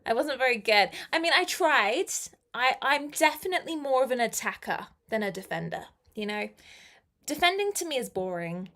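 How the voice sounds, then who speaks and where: A woman's voice: on the high side, sing-song, moderate pace, bright, forceful tone. A young woman, a teacher chatting casually on a live stream, answering viewers' comments and laughing often. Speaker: English teacher.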